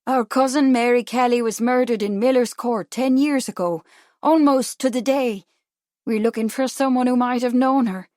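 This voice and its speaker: A woman playing a high-voiced young Irishwoman with a brogue. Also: normal speed, a little mellow, dry recording, clean studio sound, lightly acted. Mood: earnest. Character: polite, determined young Irish woman making inquiries.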